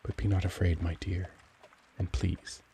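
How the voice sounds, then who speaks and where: Guy playing brooding courtly vampire, really low creaky voice, intimate asmr roleplay, gentle but anguished, noisy ambient background. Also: flat delivery, unhurried. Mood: apologetic, reassuring. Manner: formal, courtly, tender, brooding. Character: gentle, cultured young male vampire, anguished, protective, struggling for control.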